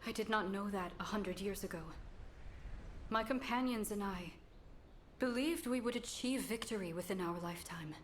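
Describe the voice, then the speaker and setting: A solemn warrior woman of a bygone age in a game cutscene, voiced by an actress. Her voice sits at a middle pitch, earnest and stiffly formal, over some background noise. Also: close to an everyday voice. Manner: formal, stilted, measured, earnest. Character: solemn, courtly woman warrior from a past century. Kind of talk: video game cutscene acting.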